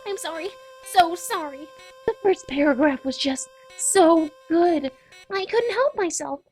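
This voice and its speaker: A woman's voice: very high voice, lively intonation, measured pace, darkish tone, soft background music. A woman voicing an eager, bookish young female cartoon pony with an earnest, wordy, apologetic manner in a comic dub. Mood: flustered, apologetic.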